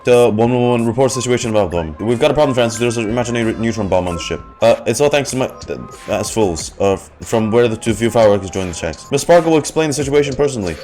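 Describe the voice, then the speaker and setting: A woman's voice: very deep voice, expressive, fast, few pauses, dark tone, soft background music. A woman streamer giving casual reaction commentary on a game and reading its text aloud.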